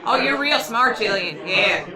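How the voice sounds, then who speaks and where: A man playing a jaded, sarcastic veteran space crew member with drawn-out delivery in a live tabletop game. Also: sits high, expressive, poor audio. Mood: grudging sarcasm. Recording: crowd noise behind.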